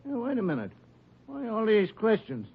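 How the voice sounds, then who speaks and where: A man's voice: high voice, huge pitch swings, moderate pace, husky, full character voice. A man acting in a 1950s radio drama, playing a breezy, evasive union steward. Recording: dull old radio broadcast.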